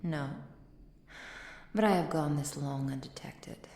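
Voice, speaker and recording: Woman as a low, slow, whispery vampire countess. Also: roomy sound. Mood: grave, thoughtful. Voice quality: soft, breathy, often dropping to a whisper. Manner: measured, formal, archaic diction. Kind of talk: ASMR roleplay acting.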